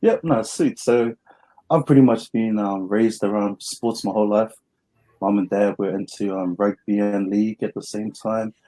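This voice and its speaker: A man's voice: on the low side, normal speed, mellow, poor audio. A young man from New Zealand with a New Zealand accent, telling his story as an interview guest in an easygoing, plain way.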